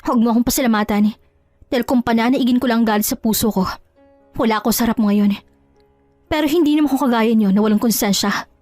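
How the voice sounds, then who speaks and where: A woman's voice: a little high, quick pace, darkish tone, no echo, natural voice. A woman performs a hurt wife in a Tagalog audio drama. She is good-hearted yet reluctant to forgive, speaking plainly and emphatically. The sound is close and dry, with music underneath. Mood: indignant. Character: kind, wounded wife, firm and reluctant to forgive.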